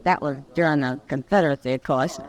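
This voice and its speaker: A woman's voice: low-pitched, quick pace, close to an everyday voice. A woman playing a sly, easygoing frontier gossip in an old-time radio western, with an American accent. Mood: amused storytelling.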